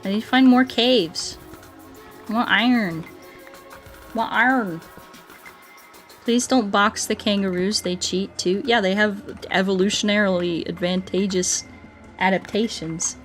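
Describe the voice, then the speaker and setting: A woman's voice: mid-range voice, unhurried, long pauses, faint music behind. A woman livestreaming a video game, chatting casually with viewers in a giggly, playful, mock-earnest way. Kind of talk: casual chat with viewers while playing.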